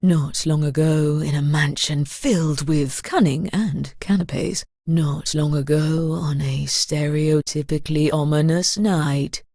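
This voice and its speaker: A woman playing an arch, ominous narrator in a murder-mystery video game, telling it in a measured, scripted storytelling style. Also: deep voice, steady tone, warm tone, dry recording, clean studio sound, exaggerated voice.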